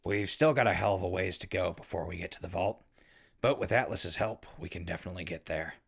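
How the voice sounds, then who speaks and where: Male actor as friendly wasteland ghoul broadcaster, low and gravelly, casual conversational delivery. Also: fast talker, full character voice. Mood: logging, upbeat. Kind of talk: post-apocalyptic audio drama acting.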